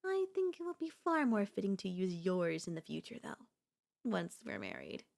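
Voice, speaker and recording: A woman's voice: mid-range voice, big pitch swings, moderate pace, vocal fry, darkish tone. A woman playing a doting, possessive girlfriend in an ASMR audio roleplay, speaking in flowery, coaxing endearments with a teasing elegance. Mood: delighted. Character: doting, possessive yandere girlfriend, sweetly insistent and theatrical.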